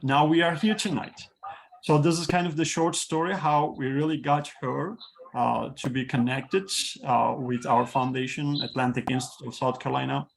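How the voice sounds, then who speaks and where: A man whose first language is Turkish, hosting an online talk on a video call and speaking haltingly with many fillers and restarts. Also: medium pitch, steady tone, vocal fry, a little hiss or hum, low-quality recording. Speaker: host, institute president.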